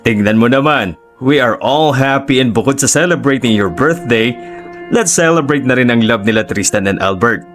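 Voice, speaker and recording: A jovial middle-aged godfather, played by a man in a radio drama, mid-pitched and easygoing. Faint background music plays beneath him. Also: animated, normal speed, fairly bright tone, natural voice.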